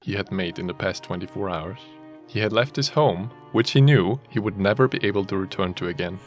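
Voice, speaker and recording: A man's voice: deep voice, brisk, soft background music. A man narrating a written story in a group reading, breaking off into casual, sweary banter. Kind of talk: scripted story reading mixed with joking chat.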